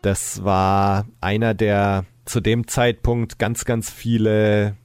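A man's voice: on the low side, level tone, slow, music in the background. A man chatting casually as a podcast host. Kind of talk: casual discussion.

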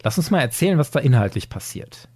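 A man's voice: mid-range voice, big pitch swings, fast talker, a little mellow. A man hosting a podcast, retelling a story in a conversational way. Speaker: podcast host.

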